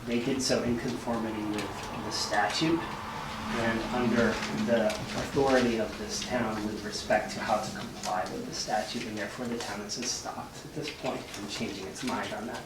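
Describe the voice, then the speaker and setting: A man's voice: lowish voice, fairly even intonation. Lawyerly man, distant in a noisy room. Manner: assertive, lawyerly, measured. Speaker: attorney speaking for property owners. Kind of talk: formal argument at a public meeting. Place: indoor town board meeting room. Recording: roomy, distant mic, noisy hiss and clicks.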